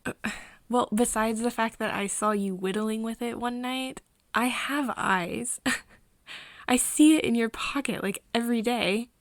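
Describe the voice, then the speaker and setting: A woman playing a caring, teasing young friend of a vampire in an ASMR roleplay, speaking softly and haltingly with whispery breath. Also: a little high, dry recording. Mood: flustered, teasing. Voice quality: soft, breathy, often dropping into whispers. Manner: hesitant, halting, gently coaxing. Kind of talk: ASMR roleplay, intimate second-person acting.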